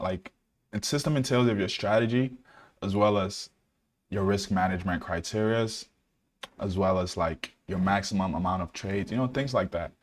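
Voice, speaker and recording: A young man from Ghana chatting casually on a live trading stream, laid-back, with lots of "like" and "um", answering chat questions. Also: fairly low pitch. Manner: relaxed, laid-back, many fillers, reads out chat questions. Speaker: day trader streaming live.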